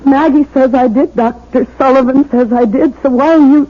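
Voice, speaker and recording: An actress playing a fragile, anxious young bride in a 1970s radio drama, speaking in a halting, plaintive way. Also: female voice, high voice, fairly even intonation. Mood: distraught. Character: fragile, anxious young bride doubting her own sanity. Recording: muffled old radio broadcast.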